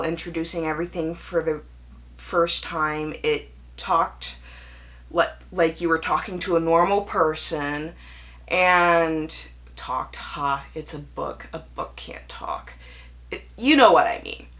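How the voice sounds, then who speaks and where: A woman's voice: lowish voice, fairly bright tone. A young woman talking casually and candidly in a chatty vlog monologue, correcting herself as she goes. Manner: conversational and candid, self-correcting, mimics imagined voices. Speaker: book reviewer and video host.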